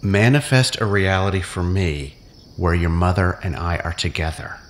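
A man's voice: sits low, fairly even intonation, moderate pace, natural voice. A man playing an obsessive, manipulative captive in an audio drama, with an intense, commanding, brooding manner. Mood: insistent, manipulative.

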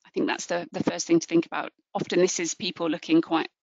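A woman's voice: on the low side, flat delivery, quick pace, vocal fry, darkish tone, dry recording. An Englishwoman, middle-aged, giving an earnest, encouraging webinar talk as a book publisher over a video call, with frequent ums and restarts. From England. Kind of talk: webinar presentation. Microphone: video call webcam. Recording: dull lo-fi webcam audio.

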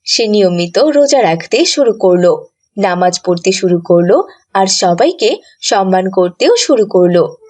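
A woman's voice: medium pitch, animated, a little slow, a little mellow. A woman giving scripted storybook narration for a cartoon.

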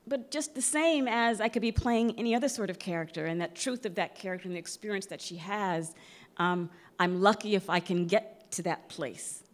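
A middle-aged woman, an actress, speaking off the cuff on a panel with expressive emphasis that moves between loud and hushed. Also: fairly low pitch. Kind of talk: unscripted panel discussion. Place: large theatre stage before an audience. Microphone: microphone on a stand, close.

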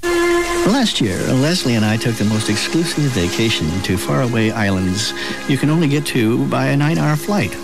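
Male travel-ad spokesman, animated first-person read, very varied pitch over loud music. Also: moderate pace, gravelly, poor audio. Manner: animated, emphatic.

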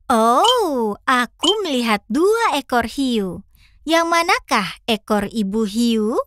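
A woman's voice: a little high, sing-song, takes it slowly, fairly bright tone, no echo, clean studio sound, heavily acted. A woman voicing a cheerful, curious little fox who hosts a children's cartoon with enthusiasm and encouragement. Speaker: host guiding young viewers. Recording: children's music behind.

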